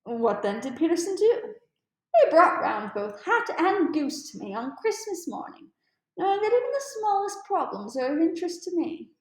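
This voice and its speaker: A woman's voice: very high-pitched, big pitch swings, normal speed, roomy sound, pitched above the performer's own voice. A woman voicing a keen, amused male detective with precise, formal and emphatic speech in a storybook read-aloud. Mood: keen, instructive.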